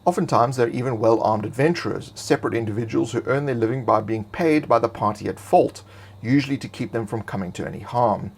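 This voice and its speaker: A man reading scripted fantasy game lore in a measured, expository way. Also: mid-range voice, vocal fry, slightly rough. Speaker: narrator.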